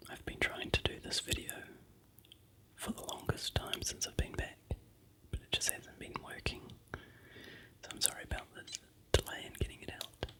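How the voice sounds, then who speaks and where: A vlogger from New Zealand with a New Zealand accent, softly narrating a travel slideshow in a steady, unhurried whisper. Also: rough recording. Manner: soft, unhurried whisper throughout.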